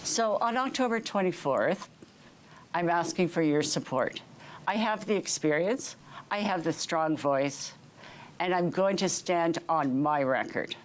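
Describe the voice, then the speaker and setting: A woman with a notably low voice speaks earnestly, stopping often on fillers as she answers. Her replies come in plain, sincere bursts. A faint room hum sits behind her. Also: animated, measured pace. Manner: earnest, hesitant with many fillers. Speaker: school trustee candidate. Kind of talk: candidate interview answers.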